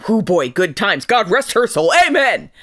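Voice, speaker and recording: Woman playing a bombastic military captain, lively and forceful. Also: mid-range voice, normal speed, dry recording, studio quality. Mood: mocking, sarcastic. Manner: barking, theatrical, mocking. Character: gruff, bombastic, sarcastic female military captain barking orders. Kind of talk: audio drama acting.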